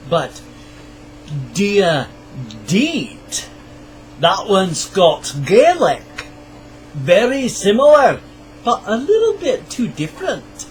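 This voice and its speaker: A man's voice: high-pitched, slight background noise, poor audio. A middle-aged man hosting his own channel, chatting casually to camera in a rambling, self-deprecating way. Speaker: channel host. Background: guitar music.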